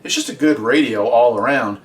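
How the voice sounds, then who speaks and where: A man's voice: mid-range voice, normal speed, slight background noise. A man hosting a casual product review vlog, talking in an informal, conversational and opinionated way. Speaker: host, radio hobbyist reviewer. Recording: voice distant from the mic.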